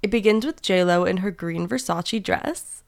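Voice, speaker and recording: Giggly young woman with a gravelly edge. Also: mid-range voice, moderate pace. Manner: giggly, reads show intro plainly. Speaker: podcast co-host. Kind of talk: casual podcast chat.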